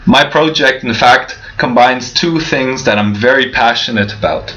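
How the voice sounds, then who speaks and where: Young man, keynote speaker, earnest and mid-pitched, over a muffled low-fi livestream. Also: moderate pace, sharp tone. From Canada. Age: young adult. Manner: earnest, with frequent restarts and rhetorical questions. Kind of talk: prepared keynote speech. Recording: dull lo-fi livestream audio.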